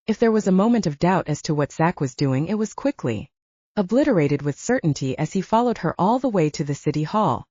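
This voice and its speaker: A woman's voice: fairly low pitch, lively intonation, brisk, dark tone, dry recording, highs cut off. A woman reading romance fiction aloud as an audiobook narrator, in a scripted storytelling style.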